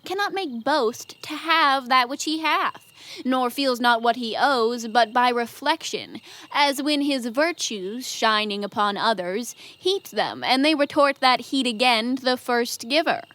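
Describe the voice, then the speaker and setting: Young woman playing a wily, high-voiced Greek commander. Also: expressive, a little slow, fairly bright tone. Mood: musing, persuasive. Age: young adult. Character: Ulysses, shrewd, wily Greek commander, a man. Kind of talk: Shakespearean verse audio drama acting.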